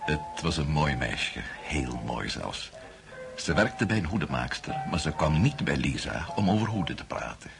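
Radio play: sardonic gentleman, extremely low voice, dry delivery, music underneath. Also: big pitch swings, moderate pace, vocal fry, exaggerated voice. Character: sardonic male member of storytelling circle, Alexander. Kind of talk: radio drama acting.

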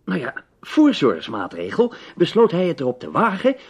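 Husky, lively shrewd adventurer. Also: male voice, on the high side, exaggerated voice. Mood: shrewd, insistent. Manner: emphatic, deliberate explaining. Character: shrewd, protective male adventurer, awkward with women. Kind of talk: radio drama acting.

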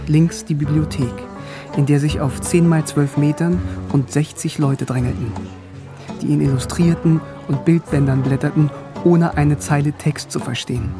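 An actor playing a bookish young East German philosopher in a radio drama, narrating and talking with wry, musing irony. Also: male voice, on the high side, natural voice.

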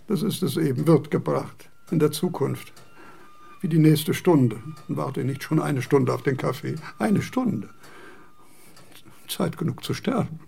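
An aged philosopher in a radio drama, frail and fussy. His speech is gravelly and slow, with a dark, husky tone. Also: fairly high pitch, lively intonation, exaggerated voice. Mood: fretful. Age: older. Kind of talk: radio play acting.

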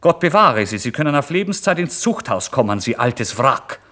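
A man's voice: medium pitch, big pitch swings, moderate pace. An actor playing a starving, proud and agitated down-and-out man in a dramatic literary radio monologue. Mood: indignant, angry. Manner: dramatic, swinging from hushed brooding to indignant outbursts.

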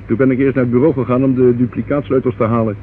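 An actor in a radio play playing a dutiful police sergeant who speaks formally and deferentially. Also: male voice, medium pitch, little pitch movement, rapid-fire, natural voice. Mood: dutiful, reporting. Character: dutiful male police sergeant reporting to superiors. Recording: tinny, noisy old radio recording.

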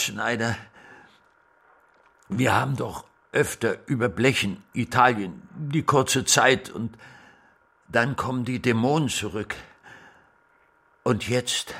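A frail old art historian in a radio play, speaking very slowly in a forceful, bright voice. He is wistful and stubborn, halting, then insisting and repeating himself. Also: on the low side, breathy edge, heavily acted. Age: older. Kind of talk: radio drama acting.

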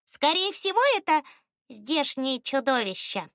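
A high-pitched, excitable male ostrich from a children's storybook, acted out by a woman. He is nervous and suspicious, yet stubbornly self-important. His blunt, emphatic speech swoops up and down, recorded dry and close. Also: measured pace, dry recording, heavily acted. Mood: fearful, convinced.

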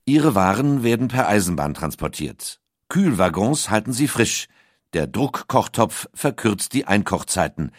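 A man reading a scripted documentary audiobook in a measured, articulate storytelling manner. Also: lowish voice, big pitch swings, no room sound, studio quality. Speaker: narrator.

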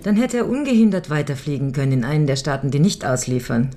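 A woman's voice: low voice, big pitch swings, quick pace, dark tone, slight background noise. An actress playing a composed, knowing woman with legal savvy in a radio crime drama, dry and precise.

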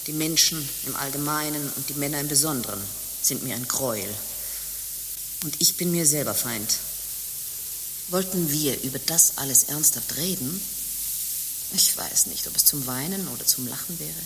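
An actress playing a bitter, world-weary former harlot turned nun in a radio play, with theatrical speech both ornate and coarse. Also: female voice, sits low, normal speed, long pauses. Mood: bitter irony. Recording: hissy recording with long reverb.

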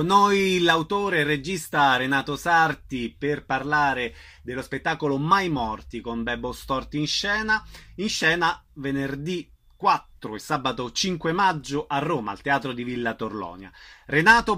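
A man's voice: fairly high pitch, animated, measured pace, pushed and bright, soft background music. A man hosting a radio interview show, announcing theatre dates and prompting his guests. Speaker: radio host.

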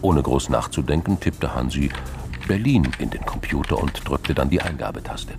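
A man narrates a crime drama in an extremely deep voice. It has a gravelly creak, and music plays clearly behind his scripted reading. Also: animated, normal speed. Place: studio.

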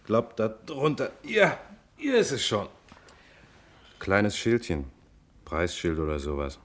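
A low-pitched detective from a German radio crime drama. He is measured, dryly courteous and insistent, turning stern as he probes. His intonation moves widely up and down. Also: huge pitch swings, exaggerated voice. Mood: probing, courteous. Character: probing male police detective, persistent, dryly courteous, stern.

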